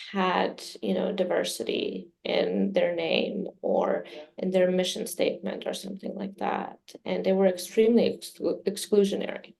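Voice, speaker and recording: A woman whose first language is Serbian, answering interview questions in a measured way with many fillers. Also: medium pitch, vocal fry, dark tone. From Midwest US. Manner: measured, many fillers, speaks of herself personally. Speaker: communications director, interview guest.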